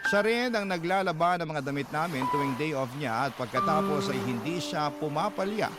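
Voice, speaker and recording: A man's voice: fairly high pitch, lively intonation, normal speed. A man with a Filipino accent giving dramatic, scripted first-person narration for a radio drama. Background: music bed.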